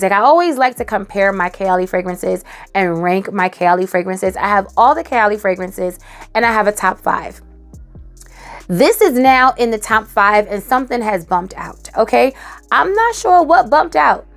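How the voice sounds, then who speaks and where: A woman with a hoarse, raspy voice after a cold, chatting animatedly as she reviews a perfume. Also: fairly high pitch. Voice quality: raspy, dry, cracking. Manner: animated, emphatic, tosses in "okay?". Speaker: fragrance reviewer. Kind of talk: casual chatty review to camera.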